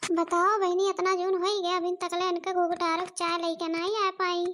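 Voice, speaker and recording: A woman's voice: very high-pitched, little pitch movement, normal speed, a bit bright. A woman voicing a bossy, complaining elderly village mother-in-law in cartoon comedy, with an Awadhi accent. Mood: grumbling. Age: older.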